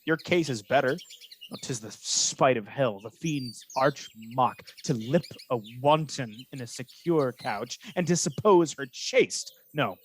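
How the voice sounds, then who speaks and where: A man's voice: mid-range voice, husky. A man acting a manipulative villain in a Shakespearean radio play, insinuating and theatrical, posing as a loyal officer. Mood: feigned concern. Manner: insinuating, measured, theatrical verse delivery.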